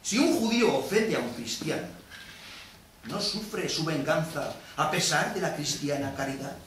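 Bitter aggrieved moneylender, stage monologue, declamatory, sharp forceful voice, far from the mic in a noisy echoey theatre. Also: male voice, a little high, lively intonation, normal speed. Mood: wounded, indignant. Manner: declamatory, rhetorical questioning. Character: bitter, vengeful Venetian moneylender, aggrieved and defiant. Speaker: stage actor. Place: theatre stage, recorded from a distance.

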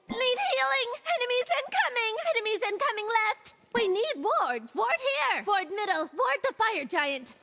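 A woman's voice: extremely high pitch, bright, forceful tone, slight background noise, exaggerated voice. A woman voicing a cutesy, playful young goose-themed gorgon for a video game, punning in a sing-song, cheery manner. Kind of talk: video game character voice lines.

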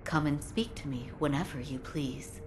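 A woman playing a composed, gentle physician in a video game, soft-spoken, formal and quietly wry. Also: sits low, normal speed, noisy background, close to an everyday voice.

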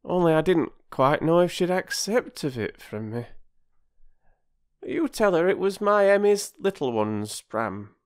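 A generous, sentimental old village woman with homely, old-fashioned country speech, as a male narrator puts her on. She speaks well above the reader's own pitch, rising and falling freely. The tone is warm-hearted and gently fussing. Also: sing-song, unhurried, smooth. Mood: kindly.

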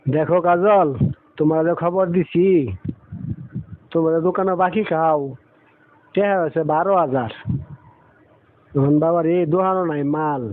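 A man's voice: on the high side, steady tone, normal speed, pauses often, close to an everyday voice. A man playing a plain-spoken, struggling village shopkeeper in a cartoon, with a rural Bangladeshi accent and a colloquial, grumbling manner. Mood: worried, insistent. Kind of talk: cartoon dialogue. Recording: thin phone-like audio.

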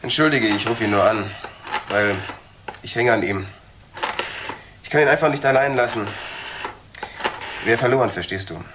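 Radio crime drama: male actor as scornful nephew, reproachful, taunting, middle pitch, audible room and noise. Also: lightly acted. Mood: bitter, subdued. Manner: reproachful, sardonic, pointed pauses. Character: bitter, taunting young nephew of an unloved aunt. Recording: roomy, clearly noisy old audio.

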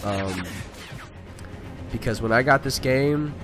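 A man's voice: medium pitch, slow pace, music in the background. A man with a stuffy, nasal voice from being sick, rambling through casual commentary over his gameplay. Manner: rambling, talkative, frequent fillers. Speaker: gaming video creator. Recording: voice over loud gunfire game audio. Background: video game gunfire and sound effects.

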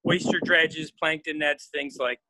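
A man in his forties guiding a live walking tour of a research boat and dock, talking casually with many pauses and fillers, filmed on a handheld phone. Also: a little high, steady tone, sharp tone, no room sound, rough recording. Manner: casual, hesitant with frequent uh fillers. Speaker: marine field station staff guide. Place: aboard a workboat and on a dock outdoors. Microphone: phone camera held at arm's length.

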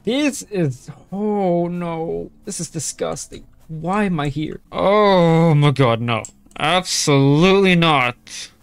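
A man's voice: a little high, takes it slowly, gravelly, few pauses, clean tone, no echo. Jumpy young man with a headset, nervous. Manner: jumpy, nervous exclamations, keeps calling viewers bro. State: straining voice. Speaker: gaming streamer. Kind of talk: horror game playthrough commentary. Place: small room indoors.

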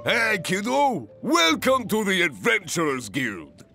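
An actor playing a hearty, boisterous male leader of an adventurers' guild in a video game, with drawn-out delivery. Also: high-pitched, huge pitch swings, vocal fry, pushed and bright, exaggerated voice. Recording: game music behind the voice.

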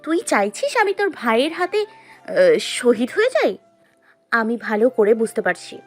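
A cheeky, easily flustered college girl played by a woman in an audio story. Her very high voice jumps up and down as she blurts and bickers dramatically, with soft music behind. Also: big pitch swings, a little slow. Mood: bickering, indignant. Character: cheeky, flustered young female college student, the heroine.

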